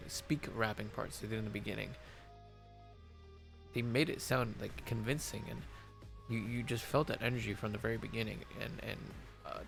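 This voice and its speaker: A man with a low, gravelly voice that has gone hoarse, as if he is losing it. He rambles through casual reaction commentary, stumbling over restarts and fillers. Music plays faintly behind him. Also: a bit bright. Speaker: reaction video host.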